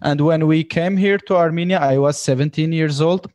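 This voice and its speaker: A man's voice: on the high side, moderate pace, a little mellow, no echo. Earnest young man with a dry sound. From Syria. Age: 20s. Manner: earnest, many fillers like "you know". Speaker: podcast guest. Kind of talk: casual conversation.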